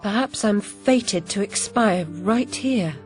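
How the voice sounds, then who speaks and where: An actress playing a troubled, sharp-tongued young woman in a video game, with a wry, precise, brooding manner. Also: medium pitch, slow pace, over music, close to an everyday voice. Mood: anguished, brooding. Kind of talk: video game character acting.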